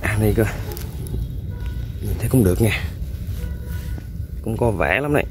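A man with a Vietnamese accent hosting an outdoor eel-fishing vlog by a riverbank. He gives running commentary to viewers while he works, with excited exclamations. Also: lowish voice, moderate pace, noisy background. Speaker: eel-fishing channel host.

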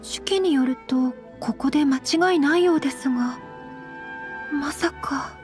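A refined, earnest young noblewoman for an anime game. The voice is high and gentle, with thoughtful, formal and measured phrasing. Music is clearly heard behind it. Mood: hesitant. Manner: very polite, measured, formal speech. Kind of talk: anime game character acting.

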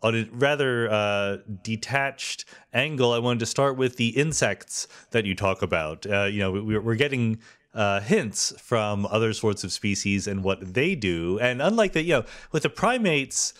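Low-voiced man as podcast host, asks measured questions with ums and restarts. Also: lively intonation, fairly bright tone. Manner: thoughtful, hesitant questions with fillers. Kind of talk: interviewing a guest.

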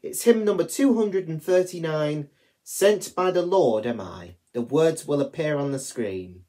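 A young man reading prayers aloud in measured, deliberate phrases as a worship leader. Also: a little high, animated, dark tone. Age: young adult. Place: small attic room at home.

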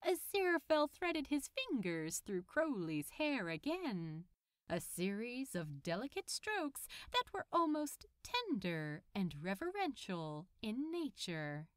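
A woman reading fiction aloud as an audiobook narrator, in an expressive storytelling style. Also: on the high side, slow pace, dry recording, clean studio sound.

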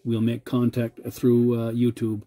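A man's voice: mid-range voice, completely flat delivery, measured pace, warm tone. A man making a CB radio contact, polite and using radio procedure words. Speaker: CB radio operator.

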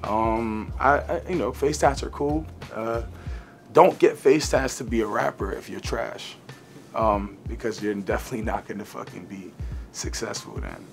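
A man's voice: on the low side, moderate pace, gravelly, faint music behind. A young man talking casually in an interview, laid-back, swearing freely and filling gaps with "uh" and "you know". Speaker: rapper guest. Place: studio, green-screen set.

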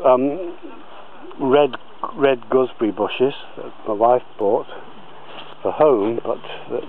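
A man talks his way slowly around his allotment, pausing and restarting often as he points things out. The sound is thin and tinny, like a phone line. Faint background noise hangs under his words. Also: mid-range voice. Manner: slow, halting, many fillers and restarts. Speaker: allotment gardener. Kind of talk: walking tour of his garden plot. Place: outdoors on a garden allotment.

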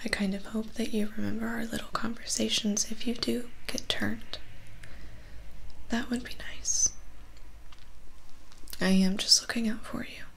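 A young woman playing a weary, kindly human assistant to a vampire in a soft, hesitant, confiding ASMR roleplay. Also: fairly high pitch, little pitch movement, gravelly. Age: young adult. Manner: slow, hesitant, gentle and confiding. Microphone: close ASMR microphone.

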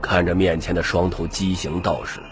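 A man's voice: low voice, little pitch movement, moderate pace. A man narrating an audiobook in a scripted, dramatic storytelling style. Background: music.

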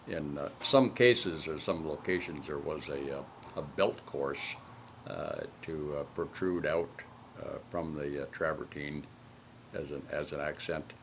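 Super deep guy explaining stuff, lots of uh's, bit of faint noise behind. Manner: halting, many "uh" fillers. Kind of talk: explanatory narration.

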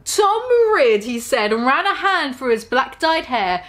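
A woman's voice: high voice, animated, moderate pace, a bit bright. A woman in her twenties giving a dramatic comic reading, exaggerated and mock-serious, with amused asides. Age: 20s. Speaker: online video host. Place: small room at home.

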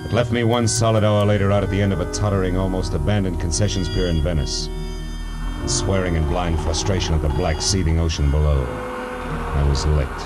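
A man's voice: low-pitched, sing-song, moderate pace. A man playing a world-weary American private detective, narrating in terse, wry 1940s radio noir style. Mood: weary, driven. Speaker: narrator and lead. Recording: vintage 1940s radio recording with music.

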